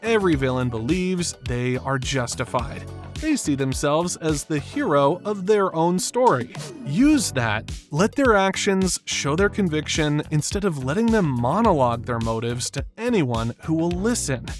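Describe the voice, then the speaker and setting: A man's voice: on the high side, animated, measured pace, faint music behind. A man delivering scripted narration as the narrator of a video essay.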